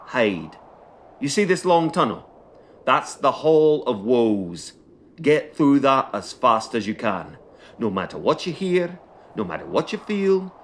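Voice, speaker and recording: A gruff guard, played by a man in an audio drama, barking plain-spoken warnings and orders. He is blunt and rough-tongued, with some noise and ambience around the voice. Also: mid-range voice. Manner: commanding, colloquial, sweary, plain-spoken. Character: gruff, blunt male escort guard warning boys about prison.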